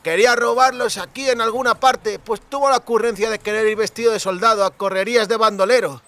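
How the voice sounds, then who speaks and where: Shrill, drunken young rustic cooper. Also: male voice, fairly even intonation, brisk, bright, forceful tone, no room sound. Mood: indignant, gossiping. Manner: exclamatory, colloquial rustic speech with clipped words.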